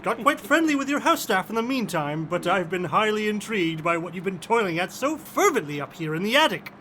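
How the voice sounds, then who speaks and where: A loyal, wary gentleman in a radio play. His voice is high and swings expressively through formal, wordy lines, with some noise behind him. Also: very animated, brisk, heavily acted. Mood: curious, supportive. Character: loyal, educated gentleman, the scientist's wary best friend. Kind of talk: theatrical radio drama acting.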